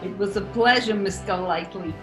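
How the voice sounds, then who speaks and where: A woman's voice: mid-range voice, normal speed, faint music behind, close to an everyday voice. A woman playing a bossy male gangster club owner with a New York accent, menacing yet genial, in a 1940s radio comedy. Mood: smooth, genial. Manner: bossy, smooth-talking. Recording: echoey room.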